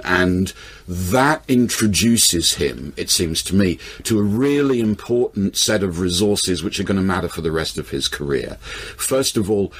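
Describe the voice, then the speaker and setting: Male expert guest, low and slightly rough voice, articulate, emphatic explanatory delivery. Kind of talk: explanatory discussion.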